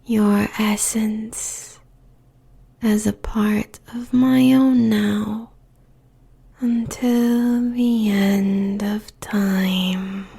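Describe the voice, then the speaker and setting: Woman as a whispering, gravelly succubus demon queen. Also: medium pitch, level tone, mellow, exaggerated voice. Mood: possessive, hypnotic. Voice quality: breathy whisper with gravelly fry. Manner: slow, deliberate, sultry, menacingly tender. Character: seductive, possessive female demon queen, a succubus. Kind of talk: whispered intimate audio roleplay monologue.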